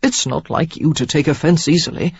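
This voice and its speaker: Defensive, sulky young man, voiced lightly by a female reader; stiff, chiding tone, breathy edge. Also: low-pitched, brisk, no room sound, dull audio, full character voice. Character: young man, sulky, mother-bound suitor.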